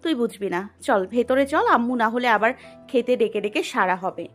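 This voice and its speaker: A woman's voice: on the high side, expressive, normal speed, faint music behind. A woman playing a melancholy, heartbroken young elder sister in an audio story. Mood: evasive, subdued. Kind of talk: Bengali audio story acting.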